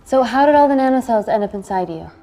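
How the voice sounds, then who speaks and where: A woman's voice: on the high side, animated, fast talker, warm tone. An actress playing an earnest young woman scientist trapped in a lab, speaking urgently in a naturalistic dramatic style. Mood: probing. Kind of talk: naturalistic dramatic screen acting.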